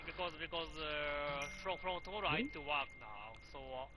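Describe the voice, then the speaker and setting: A man speaking softly and hesitantly over a remote voice chat, repeating words as he goes. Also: a little high, lively intonation, normal speed, over a noisy crowd. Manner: soft, faint, hesitant with repeats. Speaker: online teammate. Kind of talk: quiet casual voice chat.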